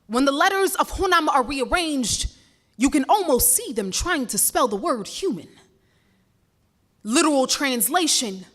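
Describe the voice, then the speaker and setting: A young woman from Ghana performs spoken word at a live campus event. Her voice sits high, and her delivery is rhythmic and impassioned, with words repeated for emphasis. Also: fairly bright tone.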